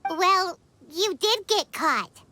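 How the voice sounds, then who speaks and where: A woman voicing a tiny, excitable, chatty childlike fairy companion in a video game, squeaky and cutesy. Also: extremely slow, soft background music, heavily acted. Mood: teasing. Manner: exclamatory, cutesy.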